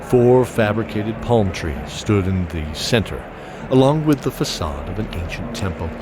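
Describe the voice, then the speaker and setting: A man's voice: on the low side, noisy background. A man narrating a scripted fantasy audio drama. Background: loud music.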